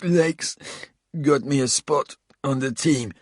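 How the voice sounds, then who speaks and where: A man voicing a wounded, defiant South African male traitor, pushing his voice higher and spitting the words out. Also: slow pace, a little mellow, husky, no echo, clean studio sound. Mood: desperate.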